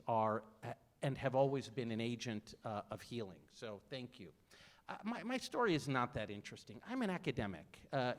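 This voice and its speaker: A middle-aged man, an academic guest, speaking in a stage conversation, self-deprecating and full of fillers and restarts. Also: mid-range voice. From United States, raised in Saudi Arabia. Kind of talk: conversation before an audience.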